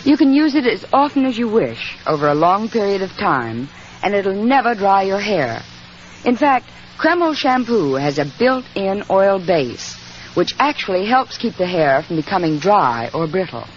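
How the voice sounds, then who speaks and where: Animated woman in vintage radio advertising. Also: mid-range voice, measured pace. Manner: polished, gushing sales delivery. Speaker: commercial spokeswoman. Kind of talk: scripted 1940s radio advertising. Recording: muffled 1940s radio with faint noise.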